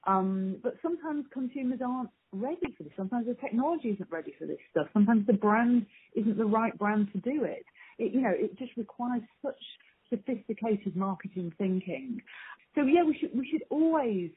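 A woman's voice: a little high, lively intonation, fairly quick, a little hiss or hum. A woman giving informal, emphatic and candid answers as a marketing guest in an interview. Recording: thin phone-like line.

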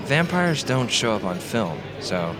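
A man's voice: mid-range voice, big pitch swings, unhurried, over music. An actor playing a casual, skeptical young man in an audio drama, with an offhand, prodding manner. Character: casual, skeptical young man secretly infiltrating rich kids.

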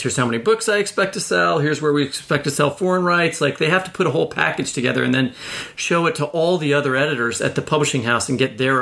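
A man with a somewhat high, bright voice hosts a casual podcast interview. He talks informally and inquisitively, thinking points through aloud. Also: creaky. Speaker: podcast host.

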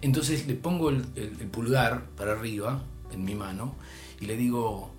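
A man's voice: mid-range voice, moderate pace, soft background music. Older argentine man telling a story. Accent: Argentine Spanish. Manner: animated, voice rising and dropping with the story. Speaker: storyteller guest. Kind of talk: casual anecdote.

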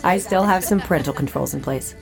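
An actress playing a friendly, upbeat AI hologram tour guide, speaking in a polished, personable way in an audio drama. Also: female voice, on the low side, fairly quick, creaky, loud music behind the voice, over a noisy crowd. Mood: friendly, playful. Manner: polished, personable presenter delivery. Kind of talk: scripted audio drama acting.

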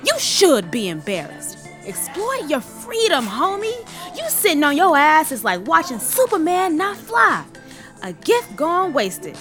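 An actress playing a brash, persuasive American hustler in an audio buddy comedy, with slangy, swaggering, teasing talk. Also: female voice, high-pitched, wildly swooping pitch, measured pace, a bit bright, soft background music, exaggerated voice. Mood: brash, goading. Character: brash, persuasive woman hustler urging friend into crime.

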